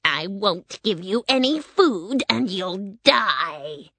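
A woman's voice: medium pitch, big pitch swings, slow pace, a bit bright, heavily acted. Wicked old witch. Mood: threatening. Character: wicked old witch, bossy, cruel, shrieking. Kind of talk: storybook character voice.

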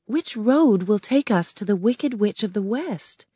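A narrator voicing a young girl in a raised, higher voice. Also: expressive, a little slow, mellow, slightly rough, no room sound. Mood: earnest. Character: young girl, earnest and gentle.

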